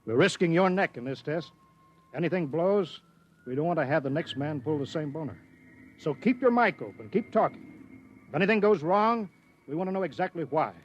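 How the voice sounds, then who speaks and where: Protective rocket-base controller, clipped orders, dull old radio broadcast sound. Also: male voice, a little high, expressive. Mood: firm. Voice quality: brisk, clipped instructions. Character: earnest male mission controller, protective and anxious. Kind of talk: 1950s radio drama acting.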